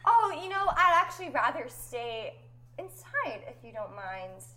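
A woman playing a tabletop role-playing game, quick, laughing and playful. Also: high-pitched, very animated, pushed and bright. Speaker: player. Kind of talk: tabletop role-play chat.

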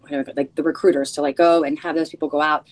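A woman whose first language is Spanish, introducing herself as a bar committee co-chair in an earnest, halting way with many fillers. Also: low-pitched, little pitch movement. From United States. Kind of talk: informal spoken introduction.

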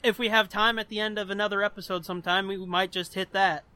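A man's voice: sits high, steady tone, fast, pushed and bright, clean tone. A man co-hosting a podcast in casual chat, speaking informally and swearing freely. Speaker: podcast co-host.

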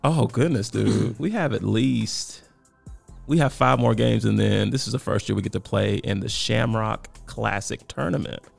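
A man, hoarse and raspy from coaching, chatting casually as a podcast co-host and laughing often. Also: on the low side, normal speed, soft background music. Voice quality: raspy, worn voice. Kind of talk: casual chat.

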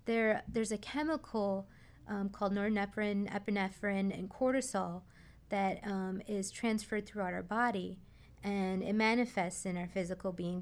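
A young adult woman explaining things conversationally as a guest expert in a radio interview, often repeating words as she goes. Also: medium pitch. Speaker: guest expert, massage therapist.

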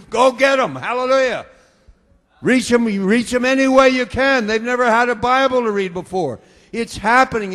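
A man from the United States preaching a sermon, swinging between quiet talk and loud bursts of fervor with repeated hallelujahs. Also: very high voice, in a large hall. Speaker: pastor.

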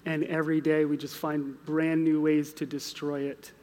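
A man's voice: on the high side, level tone, normal speed, a little mellow. A man with an American accent talking unscripted as an author on a live panel, with a wry, joking manner. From United States. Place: large indoor hall at a live museum event. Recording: reverberant hall at live event.

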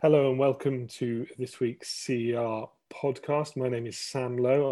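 A man's voice: mid-range voice, warm tone. Stammering man hosting a podcast. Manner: stammering, frequent repeated words. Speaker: podcast host, research fellow. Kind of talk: casual podcast interview.

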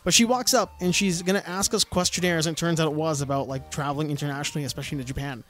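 A young man chatting casually on a podcast, an animated storyteller full of restarts and "like" fillers. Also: on the high side, racing, soft background music.

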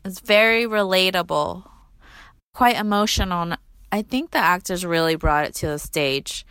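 A woman co-hosting a podcast, introducing recorded clips in a measured way. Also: on the low side, a bit bright, clean tone, dry recording. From United States. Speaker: podcast co-host.

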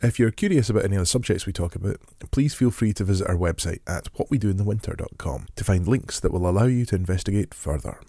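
A man hosting a podcast, giving a gentle descriptive introduction and then asking relaxed interview questions. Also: low voice, quick pace, hardly any pauses, dry recording, clean studio sound. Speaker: podcast host. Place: rural home.

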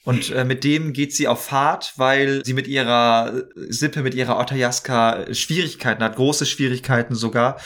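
A man's voice: mid-range voice, steady tone, normal speed, few pauses, fairly bright tone. A man chatting casually as a podcast guest, explaining things with enthusiasm. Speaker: podcast guest, audio drama maker and actor. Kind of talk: casual interview chat.

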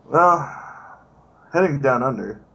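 A man's voice: mid-range voice, slow pace, gravelly, dull audio, slight background noise. A man appearing as a guest on a comedy podcast, dropping short, dry jokes while the host reads. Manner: dry, deadpan quips. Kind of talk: casual comedic podcast chat.

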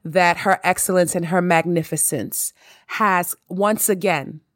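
A married woman hosting her own podcast, talking in an expressive, emphatic monologue with plenty of repetition. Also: on the low side, unhurried, no echo, clean studio sound. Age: adult, married. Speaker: podcast host. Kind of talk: casual monologue.

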